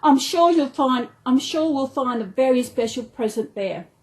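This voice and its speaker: A woman's voice: high-pitched, animated, moderate pace, poor audio. A woman voicing a polite, eager little boy gumnut creature in a children's storybook.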